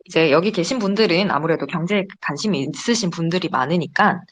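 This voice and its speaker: A woman's voice: fairly low pitch, fast talker. A young woman in her twenties giving a casual, chatty slide presentation to a study group. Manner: chatty, giggles between points.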